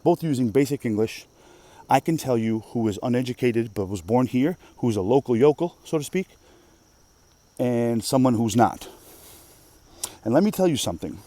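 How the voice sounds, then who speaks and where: Man, native Russian speaker, mellow tone, emphatic, swearing, rambling rant. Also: mid-range voice. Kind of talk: casual rant monologue.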